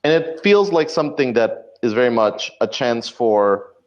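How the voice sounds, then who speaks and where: A man's voice: mid-range voice, lively intonation, moderate pace, lo-fi sound. A man speaking casually as an interview guest, explaining and elaborating as he tells stories. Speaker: podcast creator, interview guest. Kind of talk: casual interview chat, storytelling.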